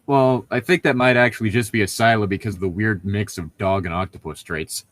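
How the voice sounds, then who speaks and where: A man's voice: lowish voice, steady tone, fairly quick, a bit bright. A man in casual conversation as a podcast co-host, swearing freely and joking crudely. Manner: crude joking, profane, laughs often. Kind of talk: casual chat.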